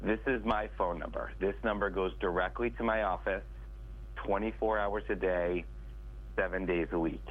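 Low-voiced congested male guest on a phone line, direct salesy pitch. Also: fairly even intonation, normal speed. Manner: salesy, direct address to listeners. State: congested, stuffed up. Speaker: attorney guest.

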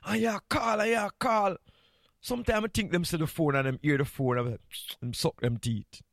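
A Jamaican man talks casually as a morning radio host, his voice sitting a little high. He is playful and candid, often repeating a phrase to drive it home. The sound is dry, with very little room on it. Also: fairly even intonation, normal speed, gravelly, no echo.

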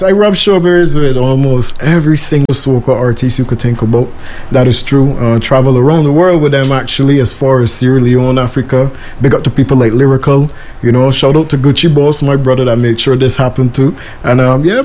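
A young man from Guyana with a Bajan accent, a soca artist giving a casual, laid-back radio interview full of shout-outs. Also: mid-range voice, a little fast.

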